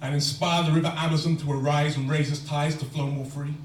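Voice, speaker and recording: A man recites spoken word poetry in a steady, rhyming rhythm, his voice sitting a little high. He is recorded from far back in an echoey lecture hall. A faint hum runs underneath. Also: a little fast. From London.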